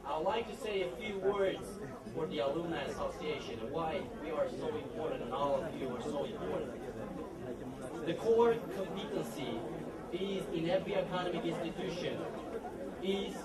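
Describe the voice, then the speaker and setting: A man's voice: on the high side, normal speed, long pauses. Young man with a slight Texas accent, speaking in a hall. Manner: relaxed and proud, reading pages, some restarts. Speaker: alumnus giving a speech. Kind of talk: prepared speech at a party. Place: large hall at a party. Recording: echoey hall, mic far off, loud crowd.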